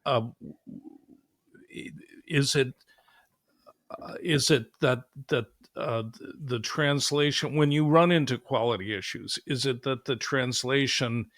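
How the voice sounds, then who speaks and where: A man hosting a podcast, asking questions in a halting way with many fillers as he searches for words. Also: mid-range voice, a bit bright, no echo, clean studio sound. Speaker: podcast host. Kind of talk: interview questions.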